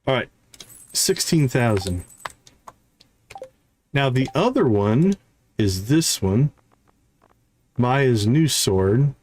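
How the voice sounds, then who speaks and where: A man's voice: medium pitch, sing-song, extremely slow, pauses often, darkish tone, slight background noise. A man giving casual live gameplay commentary, thinking aloud as he counts off items and talks through his tasks. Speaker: live streamer, gaming host.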